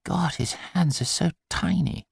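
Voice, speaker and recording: A man's voice: a little high, measured pace, creaky, breathy edge, no room sound, studio quality, voice pushed up, heavily acted. A man reading the part of a talkative, practical new father in an audiobook, dryly musing aloud. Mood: hushed, tentative. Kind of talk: audiobook character dialogue.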